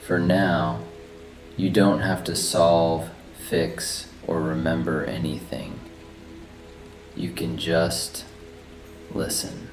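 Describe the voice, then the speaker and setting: A man narrating a guided sleep meditation in a soft, soothing, unhurried manner. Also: low voice. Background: steady rain sounds and soft background music.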